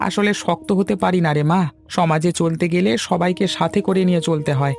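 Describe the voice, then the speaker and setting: A man's voice: high voice, steady tone, a little fast, vocal fry, a little mellow, soft background music. A man voicing a weary, worried middle-aged shopkeeper father in a cartoon, in a plaintive, fretful way. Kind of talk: cartoon dialogue.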